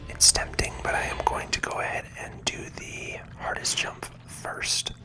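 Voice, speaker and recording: Someone giving gentle, unhurried whispered ASMR commentary while playing a video game, talking through each move close to the microphone. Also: low-quality recording. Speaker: video game playthrough host.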